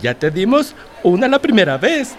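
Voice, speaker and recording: An actress playing an exuberant, teasing activist friend in a radio drama, playful and effusive. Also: female voice, mid-range voice, big pitch swings, normal speed, close to an everyday voice. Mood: welcoming.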